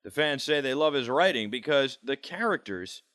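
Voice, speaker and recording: A man's voice: medium pitch, animated, normal speed, a bit bright, no echo. An American man narrating a scripted video report as a reporter.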